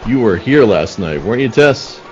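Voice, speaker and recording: A man's voice: lowish voice, sing-song, moderate pace, lo-fi sound, faint music behind. A man playing a businesslike police detective in a radio play, matter-of-fact and firm. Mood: patient, dry. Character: level-headed, businesslike male police detective. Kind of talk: old-time radio play acting.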